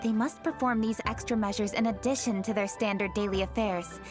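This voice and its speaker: A woman's voice: mid-range voice, fairly even intonation, fairly quick, music in the background, natural voice. A woman playing a poised young noblewoman leader in a video game, serious and dutiful, speaking formally and firmly. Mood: serious, explanatory. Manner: composed, formal, firm. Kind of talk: video game character acting.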